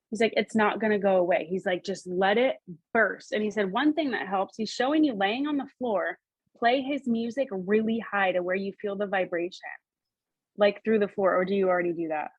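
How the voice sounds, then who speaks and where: A woman working as a psychic medium, relaying messages in a halting, repetitive, animated way. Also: mid-range voice, no echo, rough recording. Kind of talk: spoken reading, relaying messages in quotes.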